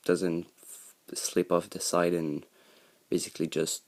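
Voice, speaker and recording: A man's voice: sits low, flat delivery, measured pace, a little mellow. A young man with a French Canadian accent, a native French speaker, hesitantly explaining a handmade project in a casual show-and-tell video, with many ums and restarts. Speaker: hobbyist video maker.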